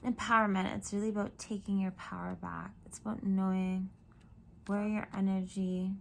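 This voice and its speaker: A woman with a croaky, gravelly voice gives a spiritual card reading into her camera at home. She wanders from thought to thought, leaning on fillers and sinking now and then into a whisper. A faint hiss sits under the recording. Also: medium pitch, little pitch movement, moderate pace. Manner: meandering, reflective, frequent fillers and drifting into whispers. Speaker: tarot reader. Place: home room. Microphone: camera mic.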